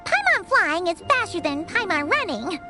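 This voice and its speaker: Tiny fairy girl, piercingly high, very animated, boastful, anime game character. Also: normal speed. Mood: reluctant, cheeky. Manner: refers to self in third person, exclamatory. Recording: loud game music underneath. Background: loud game music.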